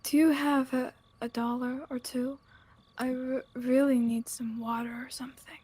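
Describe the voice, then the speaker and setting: A woman playing a timid but insistent stranger begging in a radio play, with halting, stammering speech. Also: high voice, level tone, dark tone, husky. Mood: timid, pleading. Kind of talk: radio theatre acting.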